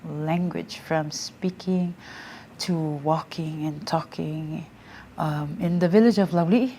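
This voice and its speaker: Guest: woman from American Samoa, Samoan native speaker, lower-pitched, hesitant and warm in her storytelling, faint hiss. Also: slow pace, low-quality recording. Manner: many fillers and restarts, laughs readily. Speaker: guest, Samoan language teacher. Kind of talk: casual interview, reflective storytelling.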